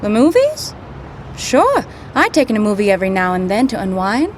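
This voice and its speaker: An actress playing a plucky, wry young grad student with breezy, slangy period talk, in old-time radio drama style. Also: female voice, mid-range voice, wildly swooping pitch, moderate pace, a little hiss or hum. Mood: playful, intrigued.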